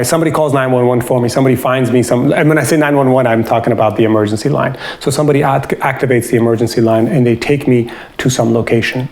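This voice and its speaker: A man's voice: medium pitch, a little mellow. A man talking in a conversational, instructive way, explaining practical advice as the host of an informal video. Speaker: host, doctor giving travel health advice. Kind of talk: explanatory advice talk with acted-out example dialogue.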